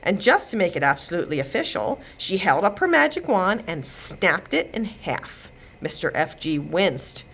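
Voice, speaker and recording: A woman reading a children's chapter book aloud as a storyteller, with expressive delivery. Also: on the low side, moderate pace, vocal fry, slightly rough. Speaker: librarian host and storyteller. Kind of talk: children's read-aloud narration.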